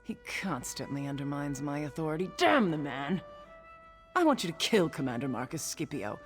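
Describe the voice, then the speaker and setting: A woman's voice: low voice, very animated, moderate pace, soft background music. Haughty, swooping pirate queen. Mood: irritated, imperious. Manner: imperious, theatrical, disdainful. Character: haughty, irritable pirate queen ruling as governor. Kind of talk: scripted video game monologue.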